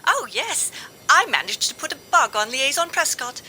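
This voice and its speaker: A woman's voice: high-pitched, sing-song, moderate pace, pushed and bright, a little hiss or hum, full character voice. A woman playing a chirpy, scatterbrained scientist in a comedic audio drama, rambling earnestly.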